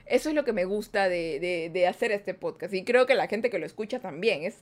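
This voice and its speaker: A woman's voice: medium pitch, lively intonation, fairly quick. A woman hosting a live-streamed podcast, chatty and playful as she talks straight to her viewers. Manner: playful, chatty, reads viewer comments aloud. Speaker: podcast host.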